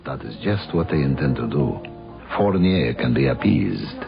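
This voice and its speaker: An actor playing a suave, menacing coven member in a radio drama, speaking formally and insinuatingly. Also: male voice, rumbling low, lively intonation, normal speed, vocal fry, exaggerated voice. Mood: warning. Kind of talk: 1970s radio drama acting. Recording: muffled old radio recording with music.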